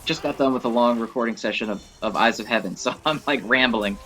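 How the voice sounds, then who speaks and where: A man's voice: medium pitch, fast talker, sharp tone. Rambling male gamer over game music. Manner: rambling, enthusiastic fan talk, mild swearing. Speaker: gaming video creator and host. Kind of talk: casual rambling game commentary. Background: video game music.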